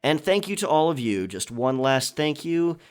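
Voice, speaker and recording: A man's voice: a little high, big pitch swings, normal speed, clean tone. A man hosting a casual question and answer session for his listeners in a conversational, chatty manner. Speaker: audio roleplay creator and channel host.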